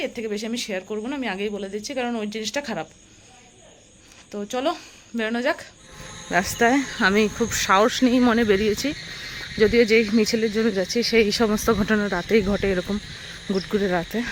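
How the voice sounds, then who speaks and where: A young woman with a West Bengali accent, chatting casually to viewers as she narrates her daily vlog. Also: mid-range voice. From West Bengal, India. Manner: chatty, addresses viewers as friends. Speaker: vlogger. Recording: noisy outdoor traffic and rain ambience.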